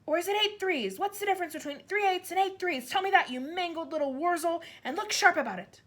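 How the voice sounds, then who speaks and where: A woman's voice: very animated, brisk, bright, forceful tone. A woman voicing a huge, bullying headmistress who barks insults at children. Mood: raging. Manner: barking, insulting, booming.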